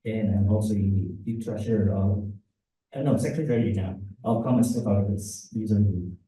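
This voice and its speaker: A man's voice: lowish voice, fairly even intonation, normal speed, warm tone. A man introducing himself at a conference, correcting himself as he goes. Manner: self-correcting. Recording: very echoey hall, from far off.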